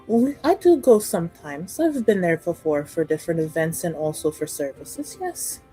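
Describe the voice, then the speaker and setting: A woman playing a courteous, diplomatic noblewoman in a tabletop game, with measured, tactful phrasing. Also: fairly low pitch, very animated, mellow, faint music behind, low-quality recording. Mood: politely evasive.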